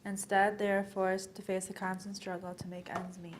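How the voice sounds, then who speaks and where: A woman's voice: mid-range voice, monotone, moderate pace. Young woman reading, faint noise behind. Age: young adult. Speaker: student presenter. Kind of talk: scripted readers theater narration. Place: indoor college library event. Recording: faint background room noise.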